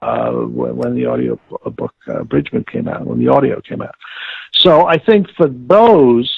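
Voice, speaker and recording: Man on a tinny phone line, gravelly. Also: mid-range voice, sing-song, dry recording. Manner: halting, emphatic, repeats words for stress. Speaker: interview guest, audiobook expert. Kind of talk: reflective interview conversation.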